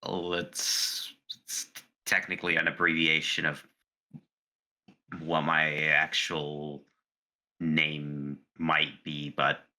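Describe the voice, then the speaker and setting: A man playing a tabletop roleplaying game, speaking in character with hesitant pauses and many fillers. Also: very deep voice, level tone, bright, forceful tone. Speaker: player.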